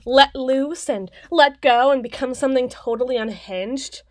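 A woman's voice: high-pitched, measured pace. A woman playing an anxious, irritable young woman in an ASMR roleplay, defensive, sulky and exasperated. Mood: anxious, indignant.

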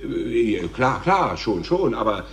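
An actor playing a stammering, self-important and patronizing television talk show host in a radio play. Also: male voice, fairly low pitch, sing-song, slow pace, slight background noise, heavily acted. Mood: flustered. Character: flustered, smug male TV talk show host. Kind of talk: German radio play acting.

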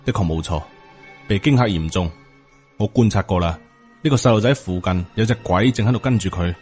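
A man voicing a courteous, self-important Taoist priest in an audiobook, formal and measured, with a Hong Kong Cantonese accent. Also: lowish voice, darkish tone, faint music behind, close to an everyday voice. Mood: grave.

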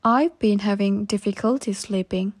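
A woman reading short English practice phrases slowly and saying each one twice for learners. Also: medium pitch. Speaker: English teacher.

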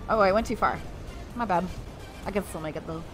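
A woman's voice: medium pitch, a little fast, soft background music. A young woman streaming a mobile shooter, chatting casually with viewers and laughing often. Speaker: game streamer. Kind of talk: casual live-stream chat while playing.

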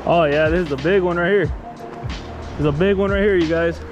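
A man vlogging while fishing on a canal bank, excited and repeating himself, filmed on a head-mounted camera. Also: fairly high pitch, normal speed, highs cut off, music in the background. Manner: excited, repeats "you guys", laughs often. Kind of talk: casual vlog commentary. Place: outdoors on a concrete aqueduct bank. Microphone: head-mounted action camera.

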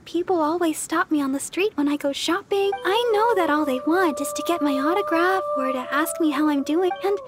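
A woman voicing a sweet, earnest young church deaconess in a video game, speaking politely, gently and hesitantly. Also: very high voice, a little fast, few pauses, over music. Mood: wistful. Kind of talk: video game character dialogue.

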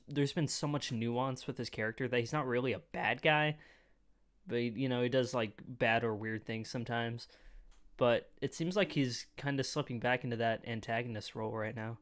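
Young adult male, medium pitch, laid-back slangy reaction host, close desk mic. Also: fairly bright tone. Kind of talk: casual off-the-cuff reaction commentary. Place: small room indoors at home. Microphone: close desk microphone, headset worn.

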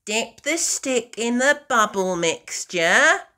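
Brief: female reader as bossy, cheerful young girl piglet for a storybook; high, squealing, very swoopy delivery. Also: very animated, bright, forceful tone, heavily acted. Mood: eager instructing. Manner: squealing, exclamatory, drawn-out phrasing.

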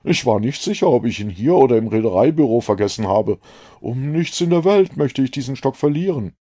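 A man voicing an eager, talkative young gentleman scientist in an audiobook, effusive and fussily precise. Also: medium pitch, lively intonation, a little fast, close to an everyday voice. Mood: eager, relieved.

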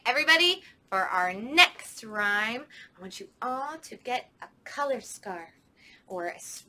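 A woman's voice: high voice, bright, forceful tone. A young woman, a children's librarian, leading a storytime with rhymes in a sing-song, playful way, recorded indoors in a library by a camera a few steps away.

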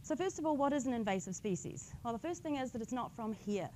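A woman with a slightly croaky voice giving a friendly public talk on invasive species, explaining plainly with light humour. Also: fairly high pitch, expressive, quick pace, darkish tone, a little hiss or hum. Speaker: invasive species outreach coordinator. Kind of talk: public science talk with slides.

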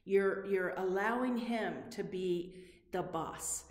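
A woman's voice: mid-range voice, takes it slowly. A middle-aged woman teaching a children's Bible lesson, explaining simply and often asking the listener questions. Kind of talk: children's Bible lesson, addressing young viewers. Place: indoor room with corrugated metal wall. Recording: echoey room with long reverb.

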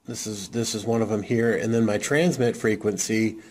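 A man casually walking through a how-to demo, talking in a halting way with many fillers. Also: on the low side, fairly even intonation, a little mellow. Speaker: radio hobbyist demonstrating programming. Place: indoor desk, off camera.

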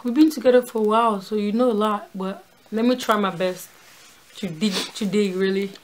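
A woman in her twenties in a casual couple challenge video, chatty and quick to laugh, filming in a small room. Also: mid-range voice, steady tone, noisy background. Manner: chatty, filler-heavy, laughs easily. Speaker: co-host.

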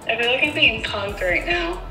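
A woman's voice: on the high side, expressive, moderate pace, sharp tone, echoey room, soft background music. A woman bantering casually and playfully on a phone call played over a speaker. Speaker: phone call recipient, a fan.

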